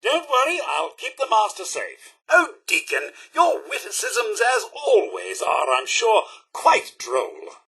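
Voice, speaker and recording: A man's voice: high-pitched, wildly swooping pitch, unhurried, vocal fry, hardly any pauses, bright, forceful tone, breathy edge, exaggerated voice. A male actor playing a prim, loyal, fussy robot butler in a video game, with posh, theatrical British diction. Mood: polite, proper. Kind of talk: video game companion dialogue.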